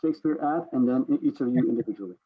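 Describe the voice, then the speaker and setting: A man hosts an online discussion in a semi-formal, conversational way. His voice sits at a middle pitch and comes through a thin, phone-like video call connection. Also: very fast, slightly rough. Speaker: host of a panel discussion. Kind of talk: hosting an online panel, semi-formal talk.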